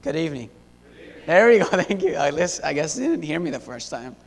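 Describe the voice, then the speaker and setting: A young man in his twenties from Syria, giving a halting church testimony full of fillers and restarts into a handheld mic. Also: big pitch swings, gravelly. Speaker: missionary. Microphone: handheld wireless mic. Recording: echoey hall, faint rumble.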